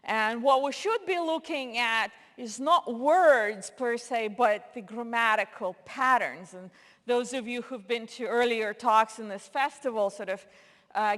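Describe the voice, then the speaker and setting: A woman's voice: a little high, a little slow, a bit bright. A woman who speaks Russian natively, giving a conference lecture in a hall with many fillers and restarts. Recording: long hall reverb.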